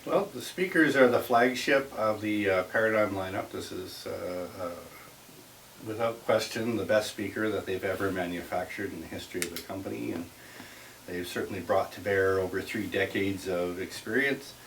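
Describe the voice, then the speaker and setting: A man working as an audio dealer, giving an informal technical explanation in careful, halting phrases. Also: low voice, a bit bright. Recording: distant mic with clear hiss.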